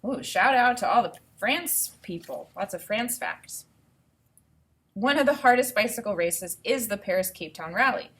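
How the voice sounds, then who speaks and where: A woman teacher reading aloud to children and thinking out loud, explaining things step by step in an instructive way. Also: medium pitch, expressive, normal speed. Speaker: elementary school teacher.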